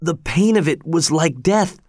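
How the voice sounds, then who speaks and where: A man voicing a young Hawaiian man, bewildered and curious, with a high, rising, wondering voice. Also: expressive, measured pace, a little mellow, no room sound, clean studio sound. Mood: alarmed.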